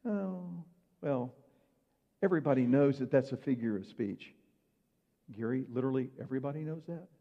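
A man's voice: mid-range voice, moderate pace, gravelly, long pauses. Preaching man in a muffled echoing hall. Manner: conversational preaching with rhetorical questions. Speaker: pastor. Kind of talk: sermon.

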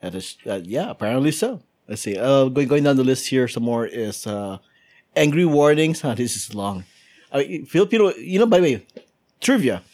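A man's voice: mid-range voice, very animated, normal speed, darkish tone. A man hosting a casual podcast chat, whose first language is Indonesian, reading items off a list and laughing often. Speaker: podcast host.

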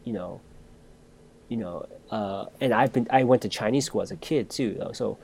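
A man's voice: lowish voice, moderate pace, gravelly, a little mellow, slight background noise. A man hosting a podcast, telling a personal story in casual chat and restarting his sentences often.

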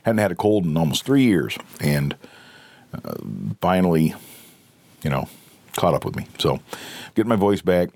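A middle-aged man with a very low, hoarse voice, just getting over a cold, hosts his own podcast in a long earnest monologue. He presses his points with emphasis and circles back to repeat and reword them. The recording is dry, with no room sound. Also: lively intonation, unhurried, pauses often, darkish tone, no echo. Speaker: podcast host.

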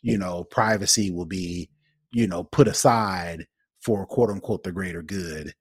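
Casting: male podcast co-host, very low husky voice, conversational, feeling his way through each point. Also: measured pace, dry recording, clean studio sound. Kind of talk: conversational commentary.

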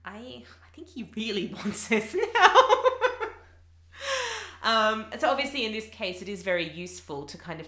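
A woman's voice: fairly low pitch, bright, forceful tone, roomy sound. A woman who teaches English, talking through her thoughts with many fillers and restarts in a casual conversation.

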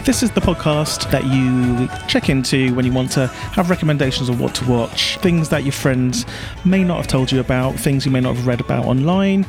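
A man's voice: mid-range voice, moderate pace, over music. Chatty man hosting a podcast. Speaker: podcast host. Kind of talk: casual podcast interview.

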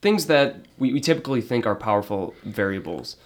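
A man with a fairly low voice conducts an interview. He sums up findings and follows them with probing questions. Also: sing-song, brisk.

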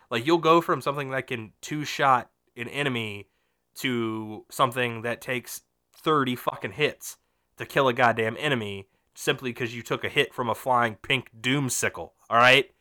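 A man's voice: mid-range voice, normal speed, sharp tone. A man hosting a casual gaming podcast, rambling and swearing with lots of fillers, a little nasal and sniffly from a cold. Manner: rambling, profane, frequent fillers and restarts. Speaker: podcast host.

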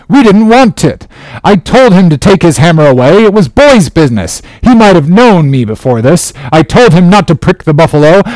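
A rebellious sailor and ringleader, acted by a male narrator, bold and defiant. His pitch is raised and lively as he jerks out his sentences in a storybook drama, recorded dry. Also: dry recording, poor audio. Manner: jerking out sentences, crying out boldly. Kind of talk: dramatic audiobook character voice.

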